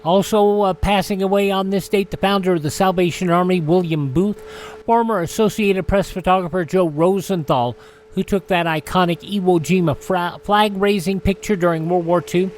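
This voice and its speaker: A male radio host reading a history rundown in a measured way with wry asides. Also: high voice, fairly even intonation, slight background noise.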